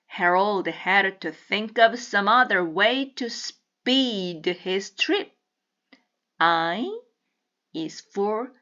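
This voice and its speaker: A woman reading a children's picture book aloud with deliberate, teacherly enunciation. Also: mid-range voice, sharp tone, lo-fi sound. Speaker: storybook reader.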